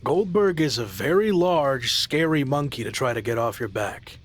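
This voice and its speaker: A man's voice: medium pitch, lively intonation, moderate pace, faint music behind, close to an everyday voice. A man playing a stubborn, skeptical younger pro wrestler in a video game cutscene, dry and doubting in delivery.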